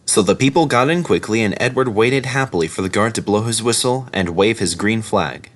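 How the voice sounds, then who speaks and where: A man's voice: lowish voice, lively intonation, brisk. A man narrating in the manner of a children's storybook reader. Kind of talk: storybook narration and spoken video introduction.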